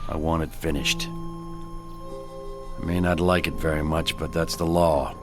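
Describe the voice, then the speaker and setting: An actor playing a stern, authoritative Old West sheriff in a radio drama, terse and commanding. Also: male voice, low voice, lively intonation, slow, music in the background. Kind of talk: western radio drama acting.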